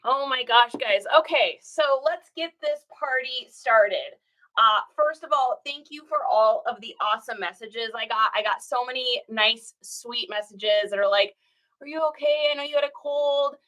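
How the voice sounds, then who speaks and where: A woman in her thirties chats casually on a livestream, greeting viewers one by one and laughing easily. Her voice sits a little high and sounds very bright, with a lingering scratchiness left over from a recent cold. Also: normal speed, poor audio. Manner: chatty, greets viewers by name, laughs often. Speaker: reseller livestream host.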